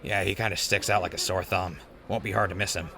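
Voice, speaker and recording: A man's voice: sits low, steady tone, fast talker, sharp tone. A man playing a friendly, wisecracking ghoul wastelander in an audio drama, with a casual, joking manner.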